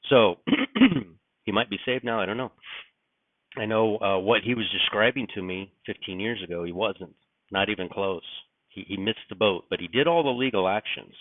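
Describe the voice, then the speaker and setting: Raspy man, just up, low pitch, rambling and musing, tinny dry recording. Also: expressive, moderate pace, dry recording. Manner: rambling, musing, frequent restarts and fillers. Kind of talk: casual spoken reflection. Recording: dry, tinny phone-like sound.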